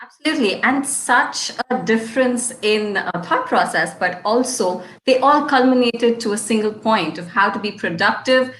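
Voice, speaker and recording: A woman's voice: a little high, moderate pace, hardly any pauses, fairly bright tone. A woman co-hosting an event, chatting without a script in an effusive, agreeing manner, on an echoey, noisy recording. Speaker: event co-host. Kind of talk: unscripted host chat. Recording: roomy echo, noticeable background noise.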